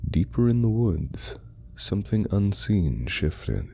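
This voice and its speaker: A man's voice: fairly low pitch, very animated, slow pace, gravelly, mellow. A man narrating a scripted horror story in a grave, suspenseful manner.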